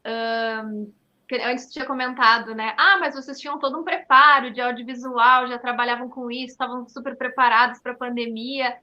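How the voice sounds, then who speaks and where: A woman with a high, very bright voice and a southern Brazilian accent talks as an interview guest. She explains things earnestly and often checks in with little tag questions. The sound is slightly dull and lo-fi. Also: fairly even intonation, moderate pace. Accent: southern Brazilian, Rio Grande do Sul. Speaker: dance artist and studio owner, interview guest. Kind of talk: conversational interview answer.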